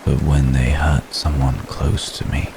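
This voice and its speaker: A man playing an ancient, brooding, remorseful vampire gentleman in an intimate ASMR roleplay, speaking formally and solemnly with long, measured pauses. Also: a bass voice, creaky, exaggerated voice. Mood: guilty, resolute. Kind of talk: ASMR roleplay, intimate slow dramatic monologue. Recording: steady rain noise behind the voice. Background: rain.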